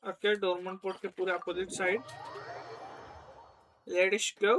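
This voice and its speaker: Casting: man, Indian accent, casual gameplay commentator, bright forceful tone, rapid delivery. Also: high voice, little pitch movement, a little hiss or hum. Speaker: game streamer.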